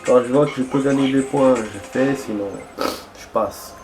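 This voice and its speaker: A man's voice: mid-range voice, measured pace, over music. A young man chatting casually while playing a game, rambling and hesitant, with many fillers and restarts. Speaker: gaming streamer.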